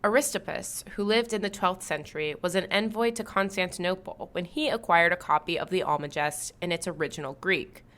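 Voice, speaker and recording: Female podcast host, scripted narration, lowish voice, smooth read. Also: a little fast, hardly any pauses, a bit bright.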